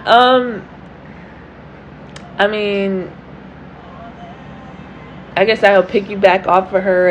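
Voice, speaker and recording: A woman with a raspy voice co-hosting a podcast, talking in a loose, casual way about faith and friendship. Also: medium pitch, dull audio, slight background noise. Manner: unhurried, loose, lots of "like" and "you know". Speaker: podcast co-host. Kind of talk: casual faith testimony chat.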